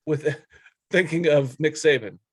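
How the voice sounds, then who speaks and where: A man with a slightly high-pitched voice co-hosts a sports talk show. He runs through news items in a casual way and gives his takes bluntly, in informal language. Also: unhurried, breathy edge, no room sound, clean studio sound. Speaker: sports podcast co-host.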